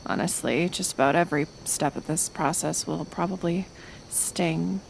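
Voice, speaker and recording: A woman playing a kind, stubborn healer in an intimate ASMR roleplay, speaking in a gentle, halting, soothing way that often slips into whispers. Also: on the low side, creaky, slight background noise, rough recording. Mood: calm, careful.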